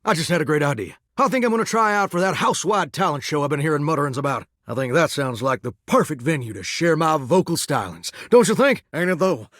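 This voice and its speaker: Earnest southern drawl dating game dude who's a shower, kinda high, super lively, dry close sound. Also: male voice, fast talker, few pauses, no room sound. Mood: eager, flirty. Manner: folksy drawl full of homespun sayings. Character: folksy, earnest, starry-eyed male shower who loves singing. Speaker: voice actor in a dating sim game. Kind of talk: expressive video game character dialogue.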